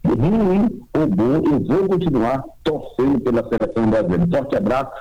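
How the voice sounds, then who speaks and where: Slow, hesitant Brazilian radio panelist. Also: male voice, medium pitch, lively intonation, mellow, slightly rough, muffled recording. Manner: slow, hesitant, many fillers. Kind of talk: radio sports talk.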